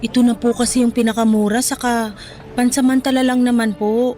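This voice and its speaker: Woman playing young filipino mom in a radio drama, highish voice, respectful but kinda defensive. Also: flat delivery, brisk, mellow, close to an everyday voice. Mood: earnest, hopeful. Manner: polite, earnest, defensive.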